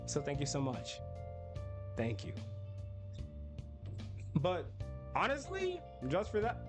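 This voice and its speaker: Chatty streamer guy with a higher voice. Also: huge pitch swings, takes it slowly, over music. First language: English. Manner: rambling and chatty, lots of "like". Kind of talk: casual live-stream chat while gaming.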